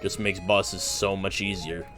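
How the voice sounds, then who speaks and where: A young man casually chatting while streaming a video game, relaxed and easygoing. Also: deep voice, a little slow, faint music behind. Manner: relaxed, talks while playing, fillers and restarts. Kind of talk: casual gameplay chat.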